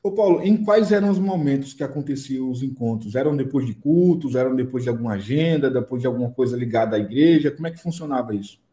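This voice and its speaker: A man's voice: medium pitch, lively intonation, quick pace, hardly any pauses, warm tone. A man with a Brazilian accent working as an interviewer, asking direct questions. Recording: echoey roomy interview audio.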